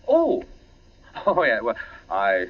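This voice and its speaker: An actor playing an anxious, affectionate husband in a 1940s radio drama, hesitant and over-casual. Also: male voice, medium pitch, huge pitch swings, takes it slowly, slight background noise. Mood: evasive. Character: anxious, affectionate young husband hiding his unease. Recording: dull 1940s radio recording.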